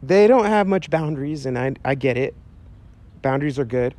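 A man in his thirties preaching outdoors to a camera, earnest and animated, talking casually with fillers. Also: mid-range voice, normal speed. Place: outdoors by a chain-link fence on a sunny day. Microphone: handheld mic, medium camera shot.

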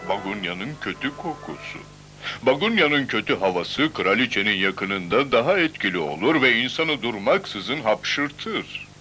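A man voicing the heroine's helper and guide in a Turkish-dubbed children's cartoon, explaining things in an animated way. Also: mid-range voice, a little slow, soft background music.

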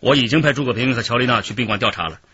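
An actor playing an earnest, efficient police detective in a radio drama. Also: male voice, mid-range voice, racing, lightly acted. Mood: urgent, efficient. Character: brisk, earnest male police detective reporting. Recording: dull radio drama track, faint noise.

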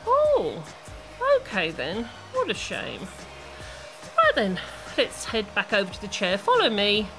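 Casting: woman to play nosy, secretly spiteful salon stylist, cooing and animated, radio comedy with music underneath. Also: mid-range voice, slow pace, heavily acted, rough recording. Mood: mock sympathy. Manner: cooing endearments, mock-innocent. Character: falsely sweet, nosy, vengeful woman hairdresser. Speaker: actor in an amateur audio play.

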